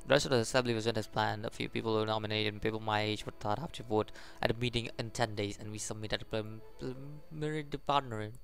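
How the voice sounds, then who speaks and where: A man's voice: on the low side, steady tone, fast, creaky, few pauses, a bit bright. A man hosting a gaming video, reading story text aloud with stumbles and adding reactive commentary, faint music behind. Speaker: gaming channel host. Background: faint game music.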